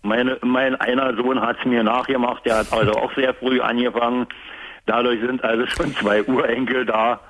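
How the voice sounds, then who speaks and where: An older man, a great-grandfather, calling a radio show and speaking haltingly and sincerely, often searching for words. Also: medium pitch, little pitch movement, a bit bright, slight background noise, rough recording. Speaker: caller. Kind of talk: phone-in conversation.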